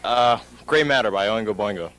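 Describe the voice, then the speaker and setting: A teenage boy answering a question slowly and hesitantly, with many fillers. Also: fairly low pitch, rough recording. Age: teens. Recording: old camcorder tape with crowd noise.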